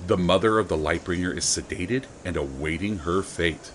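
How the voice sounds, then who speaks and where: An actor playing a stern, ruthless, sardonic official in an audio drama, blunt and gruff. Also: male voice, sits low, normal speed, slight background noise, natural voice.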